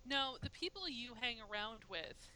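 A woman's voice: a little high, expressive, moderate pace, bright, forceful tone, clear, resonant tone. A woman chatting casually as a podcast co-host, emphatic and laughing often. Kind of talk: casual chat.

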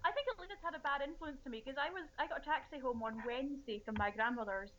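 A woman chatting casually as a podcast co-host, animated and joking. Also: high-pitched, fast, muffled recording. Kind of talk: casual chat.

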